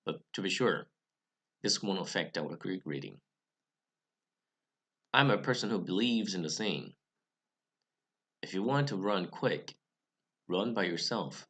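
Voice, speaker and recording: A man's voice: low-pitched, expressive, a bit bright. A young man with English as his second language, giving a careful scripted talk about reading Greek scripture. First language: not English. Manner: careful, measured delivery with occasional restarts. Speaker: Bible study channel host. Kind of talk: scripted explanatory talk to camera.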